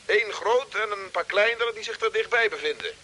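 A man's voice: high voice, normal speed, sharp tone. An actor playing a dutiful radio operator in a space drama, giving formal, precise reports to his captain. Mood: reporting, puzzled. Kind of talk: 1950s-style radio drama acting. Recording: hissy old radio play recording.

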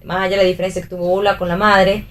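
A woman's voice: mid-range voice, flat delivery, rapid-fire, a bit bright, recorded from a distance. A woman talking casually as a panelist in a literary discussion. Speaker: panelist on a radio theatre discussion show. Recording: off-mic, faint ambient noise.

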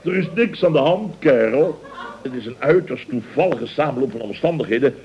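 An actor playing a blustering, jovial boss in a radio comedy, stammering and exclaiming in mock-formal phrases. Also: male voice, mid-range voice, animated, fairly quick, natural voice. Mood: embarrassed. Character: blustering, jovial male boss of a building firm. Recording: dull old radio recording.

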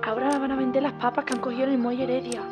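Una mujer con acento andaluz interpretando a una joven obrera servicial y tranquilizadora en una ficción sonora. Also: female voice, high-pitched, flat delivery, very fast, over music, lightly acted. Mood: reassuring, hurried. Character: helpful, reassuring young working-class woman. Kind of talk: audio drama acting.

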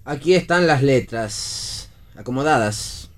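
An actor playing a laconic, wry friend in radio theatre. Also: male voice, medium pitch, expressive, slow. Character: Javier, laconic, wry friend joking as an announcer.

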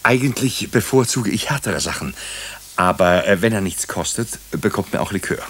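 An actor playing a wry doctor and amateur sleuth who also narrates a German radio drama, with a husky, gravelly voice and a dryly humorous manner. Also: male voice, fairly low pitch, expressive, moderate pace, a bit bright, exaggerated voice. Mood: wry, jovial.

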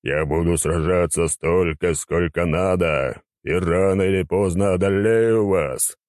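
Man voicing a slow, grumpy undead necromancer. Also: deep voice, a little mellow, dry recording, studio quality. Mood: haughty. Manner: drawn-out, haughty grumbling. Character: weary, grumpy, pompous male undead necromancer.

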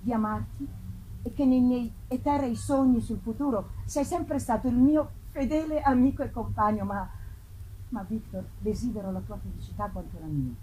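Casting: female reader as earnest young woman in love, pleading through a letter, high soft-toned voice, background noise. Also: moderate pace, natural voice, rough recording. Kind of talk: gothic novel dramatic reading. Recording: clear background ambience noise.

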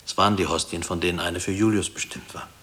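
Deep-voiced, haunted former prisoner. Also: male voice, steady tone, quick pace, a bit bright, long reverb tail, a little hiss or hum. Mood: grave, reflective. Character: haunted husband, former prisoner, brooding over his past. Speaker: actor in a radio play. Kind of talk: 1960s German radio drama acting.

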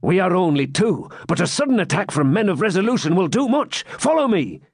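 A man's voice: high-pitched, very animated, a little fast, creaky, husky, no echo, studio quality, pitched above the performer's own voice, heavily acted. A man voicing an eager, bold medieval swineherd who speaks in an urgent, emphatic, raised voice.

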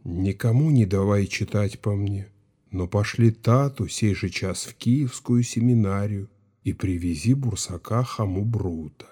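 An actor playing a grieving, stern old Cossack chief in an audio drama, speaking with solemn, mournful authority. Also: male voice, lowish voice, unhurried, dark tone, husky. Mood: hushed grief. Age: older.

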